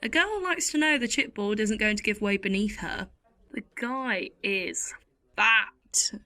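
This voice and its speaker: A woman acts a world-weary, middle-aged late-night waitress in an audio drama. She takes her time, speaking at a medium pitch with dry, sardonic wit. The delivery is intimate and deliberate, like someone confiding a story. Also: sharp tone. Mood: wry, deadpan. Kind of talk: audio play monologue with acted dialogue.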